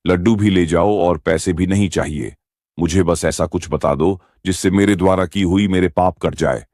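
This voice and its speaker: A man's voice: sits low, moderate pace, a little mellow, dry recording, studio quality. A man voicing a bear moneylender in a children's moral-story cartoon, dramatic and lamenting in manner. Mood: remorseful. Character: male bear moneylender, scheming yet remorseful. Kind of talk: Hindi animated moral story dialogue.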